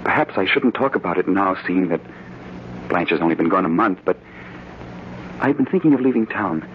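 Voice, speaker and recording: A jealous, desperate murderer in old radio horror, melodramatic and intense. His delivery swings widely in pitch. The recording is dull, with plain hiss and noise behind it. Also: lively intonation, brisk. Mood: hesitant, awkward. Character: obsessed, tormented murderous man, jealous and desperate. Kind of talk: 1940s radio horror acting. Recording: noisy, band-limited old radio recording.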